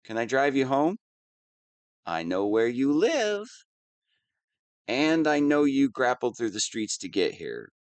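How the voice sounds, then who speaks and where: A man's voice: medium pitch, big pitch swings, slow, long pauses, no echo. A man playing a whiny, lovestruck young wannabe villain in an audio roleplay, petulant and defensive. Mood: teasing, whiny. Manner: petulant, defensive, swinging between sheepish mumbling and indignant outbursts. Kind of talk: scripted audio roleplay monologue.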